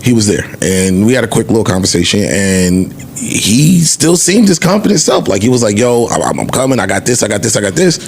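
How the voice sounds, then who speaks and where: A man's voice: fairly low pitch, very animated, a little fast, gravelly, hardly any pauses, slight background noise. A man co-hosting a podcast, talking casually and emphatically in slangy, conversational speech about the music business. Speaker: podcast co-host. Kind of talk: casual music-industry discussion.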